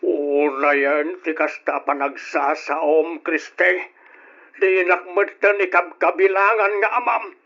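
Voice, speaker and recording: A man's voice: fairly high pitch, fairly even intonation, takes it slowly, creaky, sharp tone, full character voice. A man playing a stern scolding father. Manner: scolding, commanding. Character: stern older father forbidding his daughter's marriage. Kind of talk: old radio drama acting. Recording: dull lo-fi old radio recording.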